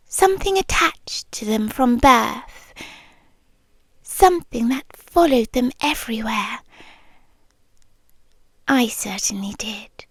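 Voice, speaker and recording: An ancient, possessive female creature, played by a woman with a British accent, murmurs close to the mic in a high, soft voice. She speaks extremely slowly, lingering between phrases and often dropping into whispers. The intimate ASMR delivery is tender and lovesick, in a very dry recording. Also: no echo, full character voice. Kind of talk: intimate ASMR girlfriend roleplay. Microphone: close ASMR microphone, dry.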